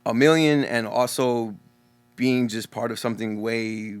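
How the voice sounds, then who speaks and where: A man's voice: mid-range voice, measured pace, clear, resonant tone. A young man from Texas with a Texan accent who stutters openly, talking casually and earnestly as a guest DJ in an interview. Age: young adult.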